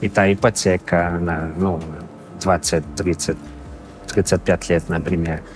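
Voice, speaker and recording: A man in his thirties from Canada with a Canadian accent, speaking a learned second language with pauses and fillers in an interview. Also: deep voice, level tone, faint music behind. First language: not Russian. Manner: halting, searching for words with many fillers. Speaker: interview guest. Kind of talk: casual interview answers. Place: exhibition hall indoors. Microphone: lapel.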